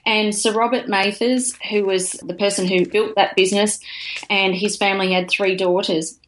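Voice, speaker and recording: A woman's voice: mid-range voice, fairly even intonation, normal speed, fairly bright tone, a little hiss or hum, rough recording. A middle-aged Australian woman, a podcast guest, telling her story in a chatty way with frequent laughs and restarts. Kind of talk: casual interview storytelling.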